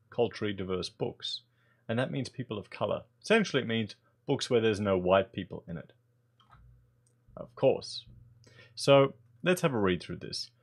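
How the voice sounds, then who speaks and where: A man in his thirties whose first language is German, reading a news article aloud and breaking in with sarcastic, exasperated commentary. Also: fairly low pitch. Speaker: political commentator.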